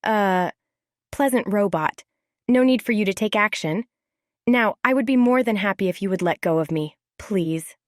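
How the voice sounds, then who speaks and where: A woman voicing an eager, polite teenage girl in an audio story, earnest and eager to smooth things over. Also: mid-range voice, moderate pace, no echo, clean studio sound, close to an everyday voice. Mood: nervous, placating. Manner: earnest, placating. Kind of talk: scripted audio story character.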